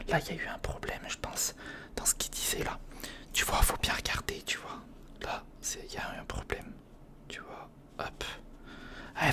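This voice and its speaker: Guy whispering asmr build commentary, breathy, kinda hesitant and chatty, right up on the mic. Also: a little high. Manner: hesitant, informal, muttering to the listener. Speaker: ASMR video host. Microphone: close recorder microphone.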